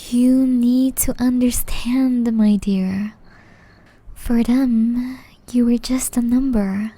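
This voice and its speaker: A woman playing a gentle, centuries-old banished witch in an ASMR roleplay, speaking softly and breathily, often whispering, with a slow, tender, pleading manner. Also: a little high, steady tone, dry recording. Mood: concerned, pleading. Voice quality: soft, breathy, largely whispered.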